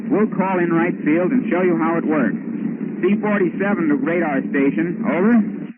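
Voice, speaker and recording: A man's voice: a little high, normal speed. A man explaining as a military airman in a scripted 1940s radio demonstration. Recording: tinny, noisy old aircraft radio broadcast.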